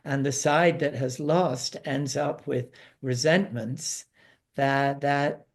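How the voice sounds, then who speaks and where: A man with a mid-pitched voice talks as a guest analyst on a panel. His delivery is very slow and halting, with repeated words and hesitations as he explains things informally. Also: fairly even intonation.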